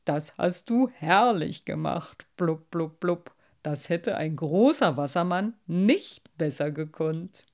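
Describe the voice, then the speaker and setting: Storybook carp, played by a woman: thoughtful male fish, unhurried, lower register, bubbly verbal tics. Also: lively intonation, dark tone. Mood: admiring. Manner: deliberate, bubbling blub interjections between phrases. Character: slow, thoughtful old-fashioned male carp, loyal and easily moved. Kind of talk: storybook character voice.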